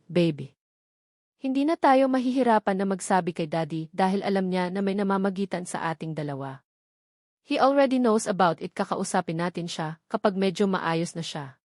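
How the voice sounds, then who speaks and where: A woman's voice: mid-range voice, darkish tone, no echo, clean studio sound, lightly acted. A woman with a Filipino accent voicing an ardent young man wooing his secret lover in a romance audiobook.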